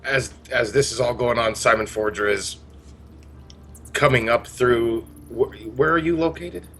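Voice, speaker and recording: A man's voice: medium pitch, measured pace, fairly bright tone, faint music behind. A man casually narrating his character's actions as a player in a tabletop roleplaying game.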